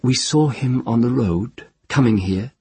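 A man reading a tender, fiercely protective woman comforting a frightened child, soft and murmuring at times. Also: medium pitch, a little slow, slightly rough, no room sound, voice pushed up. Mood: gently probing.

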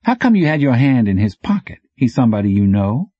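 Man playing brash street-smart courier, pitched way up. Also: sing-song, fairly quick, breathy edge, dry recording, highs cut off. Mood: probing. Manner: slangy, clipped, needling. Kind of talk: audiobook character dialogue.

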